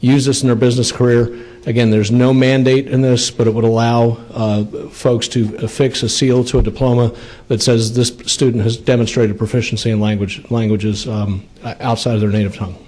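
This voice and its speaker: A man's voice: medium pitch, little pitch movement, moderate pace, darkish tone, low-quality recording. A middle-aged man presenting a bill to a legislative committee from a podium microphone, with many fillers and restarts. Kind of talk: committee testimony.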